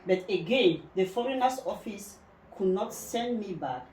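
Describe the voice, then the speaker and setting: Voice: medium pitch, lively intonation, unhurried, darkish tone, slight background noise. A host from Cameroon asking follow-up questions and affirming the guest in an interview. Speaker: talk show host. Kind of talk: interview conversation. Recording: echoey room.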